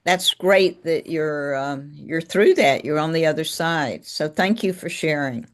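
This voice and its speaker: A woman's voice: sits low, a little slow. A woman hosting a live webinar over a video call, encouraging callers and leaning on "you know" fillers. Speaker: webinar host.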